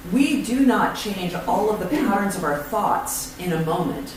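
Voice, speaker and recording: A woman leading a church invocation and readings in a prayerful, unhurried, affirming way. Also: medium pitch, expressive. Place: church sanctuary. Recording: echoey room, far from mic, noisy.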